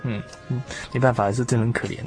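A man's voice: medium pitch, steady tone, fast, loud music behind the voice. A man hosting a conversational discussion of faith in a reflective, explanatory way. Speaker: radio talk show host.

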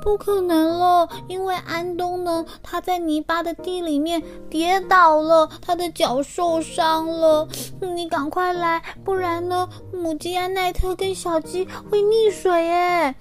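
A woman's voice: very high voice, little pitch movement, voice pushed up. A worried little storybook trailer, begging a friend to hurry in a raised, pleading voice, which a woman puts on. Faint music sits in the background. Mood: urgent. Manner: hurried, pleading. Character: urgent little trailer bringing bad news.

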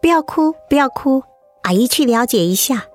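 An actress playing a gentle, comforting school nurse in a radio drama. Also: female voice, sits high, animated, moderate pace, soft background music. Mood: soothing.

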